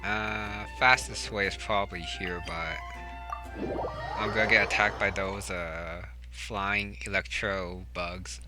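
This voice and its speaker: A man's voice: low-pitched, fairly even intonation, takes it slowly. A man giving casual gameplay commentary in short, offhand asides. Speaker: gamer, video host. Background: game music.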